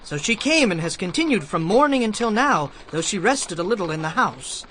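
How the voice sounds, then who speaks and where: Eager servant foreman, really high bright voice, deferential, bible drama. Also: sing-song, normal speed, slight background noise, exaggerated voice. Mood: eager, respectful. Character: respectful, eager male servant overseeing field reapers. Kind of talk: dramatized audio Bible acting.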